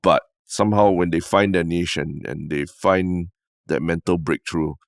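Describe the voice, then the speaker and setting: A man's voice: low voice, steady tone, a little slow, vocal fry, dry recording, studio quality. A man with a Singaporean accent co-hosting a podcast, talking in a thoughtful, reflective way. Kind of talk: casual chat. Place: podcast studio.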